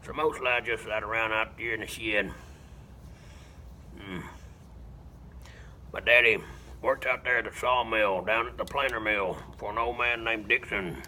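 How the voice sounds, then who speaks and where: Casting: simple rural Southern man for film-style monologue; lowish, hard-edged voice, deadpan, halting, grunting hums. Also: slight background noise. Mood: flat, matter-of-fact, then flat, reminiscing. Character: slow, simple-minded rural Southern man confessing killings flatly. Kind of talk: dramatic film monologue rendition.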